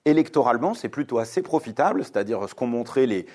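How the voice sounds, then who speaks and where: Man in his 40s, born in lebanon, talks it through with lots of euh, steady mid pitch. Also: quick pace. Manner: fluent, reasoned, many fillers. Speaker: author guest. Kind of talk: radio interview.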